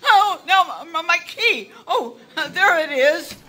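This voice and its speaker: A woman plays a fussy middle-aged housekeeper from an old-time radio mystery, her voice very high and bright, swooping wildly. She calls out slowly and wails through sobbing, breathless cries. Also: lively intonation, heavily acted. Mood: panicked. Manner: wailing, sobbing, breathless exclamations. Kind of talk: 1940s radio acting. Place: stage, performed live.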